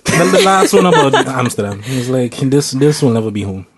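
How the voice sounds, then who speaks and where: A man with a Sint Maarten Caribbean English accent talks at a fast clip. He is thoughtful and lays out his views at length. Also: medium pitch, big pitch swings, over a noisy crowd. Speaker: podcast co-host. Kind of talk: casual podcast chat.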